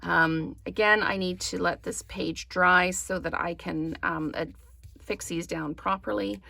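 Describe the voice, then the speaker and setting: A woman hosting a craft tutorial, slightly hoarse, chatting in a casual, apologetic way and thinking aloud with frequent ums. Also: lowish voice, steady tone, a little slow, pushed and bright. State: slightly hoarse, losing her voice. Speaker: crafting channel host.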